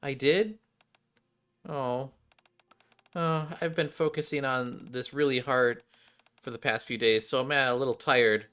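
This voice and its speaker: A man voicing a surly, sharp-tongued schoolgirl from a game, curt and dismissive. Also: mid-range voice, steady tone, a little slow, pauses often, smooth, lightly acted. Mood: guarded, weary.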